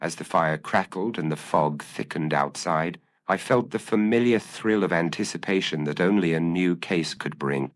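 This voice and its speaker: A man narrating a first-person detective audiobook in a measured, formal, literary manner. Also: low-pitched.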